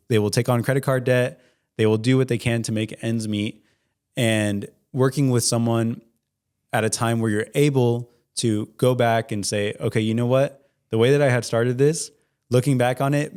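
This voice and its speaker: Earnest young man explaining calmly. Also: mid-range voice. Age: 20s. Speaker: certified public accountant, guest. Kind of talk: interview answers.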